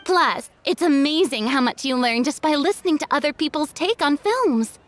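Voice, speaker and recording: A woman's voice: high-pitched, lively intonation, normal speed, a little hiss or hum. An actress playing a gracious, theatrical young female celebrity in a video game, speaking in a grandiose, eloquent way. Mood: breezy, self-assured.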